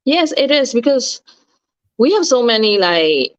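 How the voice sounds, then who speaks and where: A woman's voice: on the high side, big pitch swings, a little slow, dry recording. A woman, chatting as an interview guest and searching for words with many fillers. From Myanmar. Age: 30s. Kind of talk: casual interview chat. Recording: dull, slightly lo-fi sound.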